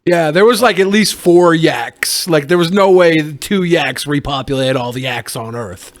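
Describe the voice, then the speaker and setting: A man with a fairly high voice jokes around on a panel podcast. He riffs in a crude, mock-serious way, as if arguing a real point. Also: a little slow. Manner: profane, mock-serious riffing. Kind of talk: casual joking panel chat.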